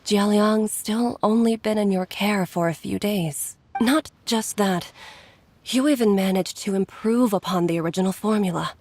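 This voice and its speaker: Actress doing a tired doctor mom, soft and earnest, sighs a lot. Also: female voice, mid-range voice, normal speed, a little hiss or hum, natural voice. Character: weary mother, a doctor and caring healer. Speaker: game character. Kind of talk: video game voice acting.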